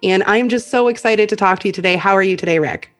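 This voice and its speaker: A woman's voice: medium pitch, fast talker, a bit bright. A woman hosting a podcast, enthusiastic and friendly as she introduces and interviews her guest. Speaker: podcast host.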